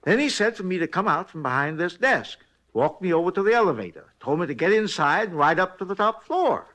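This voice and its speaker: An actor playing a folksy, talkative hotel night clerk in a 1950s radio drama, rambling along in plain, ungrammatical speech. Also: male voice, high voice, big pitch swings, fairly quick, a bit bright. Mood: chatty. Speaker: robbery witness.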